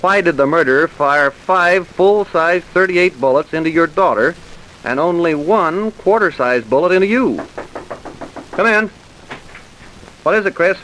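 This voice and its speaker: An actor playing a blunt, suspicious sheriff in an old radio crime drama, with folksy, hard-nosed questioning. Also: male voice, a little high, measured pace, a bit bright, low-quality recording. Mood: probing. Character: blunt, suspicious male small-town sheriff. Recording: faint hiss, old radio recording.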